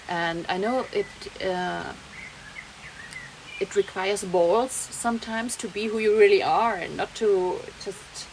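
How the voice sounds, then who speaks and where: A young woman with a German accent, rambling in a casual vlog monologue and slipping German words into her English. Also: medium pitch. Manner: rambling, chatty, laughs often, slips German words in. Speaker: vlogger. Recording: noisy background, rain heard.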